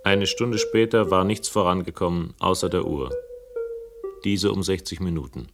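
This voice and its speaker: A sardonic investigator in a radio crime drama. His voice is very low, his delivery dry and impatient, and there is clear music in the production. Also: normal speed. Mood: dry, wry. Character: wry, impatient male narrator-investigator in a crime story. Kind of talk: German radio crime play acting and narration.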